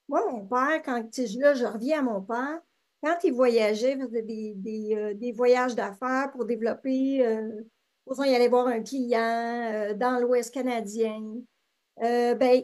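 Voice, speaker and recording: A woman's voice: high voice, darkish tone. A middle-aged woman with a Québécois French accent, chatting as an interview guest with many fillers and restarts. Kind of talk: casual interview conversation.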